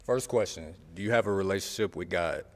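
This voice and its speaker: A man's voice: deep voice, fairly quick, big-room sound. A man on a dating game show, explaining his picks bluntly but politely. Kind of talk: casual chat.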